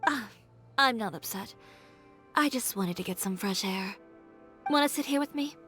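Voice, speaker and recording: A woman's voice: mid-range voice, expressive, normal speed, a bit bright, lightly acted. Actress doing confident young woman designer, video game character, poised and candid, music behind her. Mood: composed. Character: assertive, composed young female fashion designer. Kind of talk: video game voice acting, reflective storytelling.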